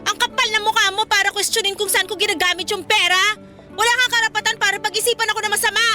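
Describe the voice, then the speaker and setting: A woman's voice: very high-pitched, fairly even intonation, racing. A woman playing a brash, manipulative young girlfriend in a radio drama, with a pushy, dramatic manner. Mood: angry, scornful. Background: faint background music.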